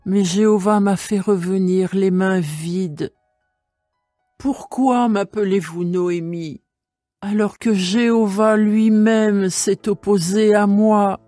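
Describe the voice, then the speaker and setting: Slow, mellow-voiced widowed mother-in-law played by a woman. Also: medium pitch, steady tone, no echo, soft background music, close to an everyday voice. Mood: bitter, sorrowful. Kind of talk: dramatised scripture reading.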